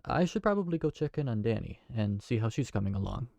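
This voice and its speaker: A man's voice: medium pitch, big pitch swings, quick pace, creaky, darkish tone, slight background noise. A man voicing a kind, awkward tavern keeper who talks in a hesitant, friendly way. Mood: cheerful. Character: kind, awkward young male tavern keeper named Briar. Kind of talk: fantasy audio roleplay.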